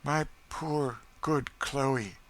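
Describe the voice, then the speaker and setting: A man playing a gentle woman of the house consoles in a soft, tender tone, lifted somewhat above his usual range. His voice stays clear and bright throughout this storybook reading. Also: mid-range voice, steady tone, unhurried, pitched above the performer's own voice. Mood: consoling.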